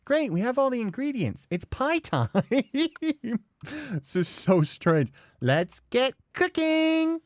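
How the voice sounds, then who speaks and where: A let's play host giving animated, slangy game commentary with casual swearing. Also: mid-range voice, slow pace, no room sound.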